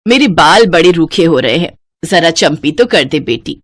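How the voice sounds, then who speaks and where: A woman's voice: low voice, lively intonation, normal speed, dry recording. A woman voicing an affectionate older mother-in-law, the matriarch of a cartoon family. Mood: coaxing.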